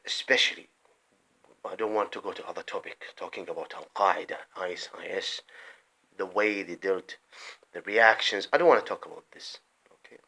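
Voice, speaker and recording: A man delivering an impassioned, rambling political monologue, breaking off mid-sentence and swinging between loud outbursts and muttered asides. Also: low-pitched, moderate pace, slightly rough. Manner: rambling, emphatic, often breaks off and restarts. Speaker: vlogger.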